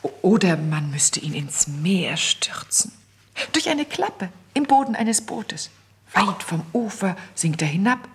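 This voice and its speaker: An actress playing the naive, eager youngest of a group of genteel ladies in a radio play, with a husky, breathy voice. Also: female voice, fairly low pitch, very animated, slow pace, heavily acted. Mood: dreamily morbid. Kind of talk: German radio play acting.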